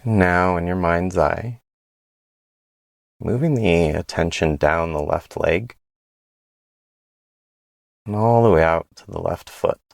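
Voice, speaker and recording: A man leading a guided body scan meditation in a gentle, unhurried way, pausing between phrases. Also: sits low, vocal fry, no echo.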